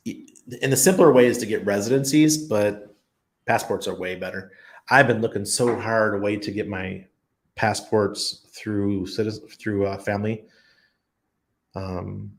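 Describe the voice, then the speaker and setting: Stream host, male, low gravelly voice, loose rambling delivery with ums and restarts. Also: fairly even intonation, a little slow. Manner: rambling, thinks aloud with many ums and restarts. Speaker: livestream host answering chat. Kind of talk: casual chat. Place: expat living abroad.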